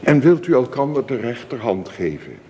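An actor playing a solemn church minister in a radio drama, speaking formal, measured, ceremonious wedding liturgy. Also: male voice, mid-range voice, big pitch swings, mellow, natural voice. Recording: reverberant church-like hall, clear hiss.

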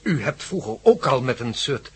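A man's voice: mid-range voice, big pitch swings, normal speed, slightly rough. An actor playing a courteous, curious male scientist in a radio play, explaining things in a formal, pedantic way. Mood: fascinated.

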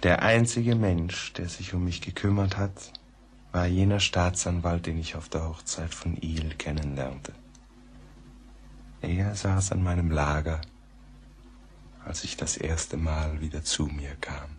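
Very deep, haunted narrator. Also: male voice, fairly even intonation. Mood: feverish, despairing. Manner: slow, measured, brooding, sometimes near whisper. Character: grave, haunted male narrator recalling a tragedy. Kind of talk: German radio play narration, letter-like storytelling. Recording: faintly noisy old radio play recording.

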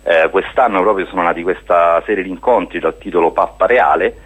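Man, low husky voice, earnest radio guest, explanatory delivery. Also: fairly quick, fairly bright tone. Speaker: guest, spokesman of a hiking association. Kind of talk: radio interview, explaining a project.

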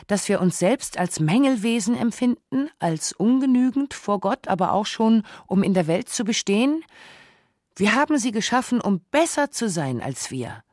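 A woman playing a cool, analytical sparring partner in a radio drama, measured, probing and logical. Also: mid-range voice, a little mellow, no echo, clean studio sound. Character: cool, analytical female sparring partner who questions and objects. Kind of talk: scripted radio drama dialogue.

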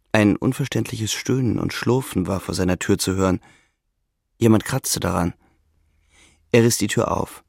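Low, creaky male narrator. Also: a little mellow, no room sound, clean studio sound. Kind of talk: scripted literary narration.

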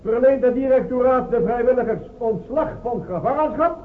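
A man's voice: very high voice, moderate pace, distant mic, slight background noise. An actor playing a stern male prison official who reads out a decree to prisoners in a commanding, barking way. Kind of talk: radio drama acting. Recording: echoey, phone-like lo-fi radio recording.

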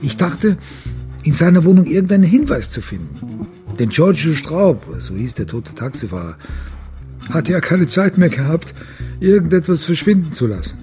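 An actor playing a desperate, stubborn father in a radio crime drama, speaking with insistent, deliberate intensity. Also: a little high, sing-song, music in the background. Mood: recollecting. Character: desperate, stubborn father searching for his abducted daughter.

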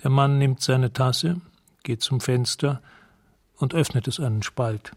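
A man narrating a scripted radio drama in a measured, matter-of-fact way, describing scenes in the present tense. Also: mid-range voice, no room sound, studio quality.

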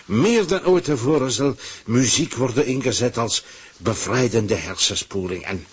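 An actor playing a pompous, power-hungry government ruler in a radio play, declaiming with a husky voice. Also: male voice, medium pitch, animated, a little slow. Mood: grandiose.

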